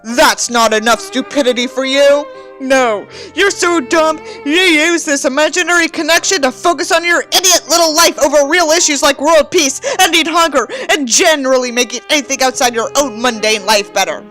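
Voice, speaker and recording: High-pitched, whiny, pompous, melodramatic misanthrope writer played by a woman, mock dramatic reading, faint music. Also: moderate pace, a bit bright. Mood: scornful outrage. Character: whiny, self-important, bitterly nihilistic male writer, mockingly melodramatic.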